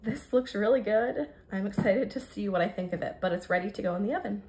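An American woman in her thirties with a creaky voice, chattily narrating her cooking step by step in a casual, informal way. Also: mid-range voice, fast talker, warm tone, a little hiss or hum. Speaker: home cook vlogger. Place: home kitchen, indoors.

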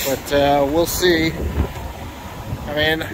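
A man's voice: a little high, level tone, slow pace, noisy background. Easygoing middle-aged man vlogging. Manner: easygoing, rambling with fillers and restarts. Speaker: vlogger on a road trip. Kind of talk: casual vlog narration. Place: inside a car and outdoors at charging stations. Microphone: phone camera at arm's length.

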